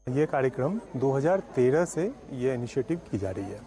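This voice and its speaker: A man's voice: mid-range voice, lively intonation, normal speed, warm tone, soft background music. A man with an Indian accent, an official giving an explanatory statement to the press. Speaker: bank official. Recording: faintly noisy news soundbite.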